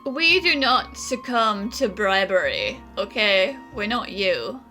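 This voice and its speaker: A woman's voice: mid-range voice, big pitch swings, slow pace, sharp tone, soft background music, heavily acted. An actress playing a forthright young female companion who muses aloud and stands firm. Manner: forthright, musing. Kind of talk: game voice acting.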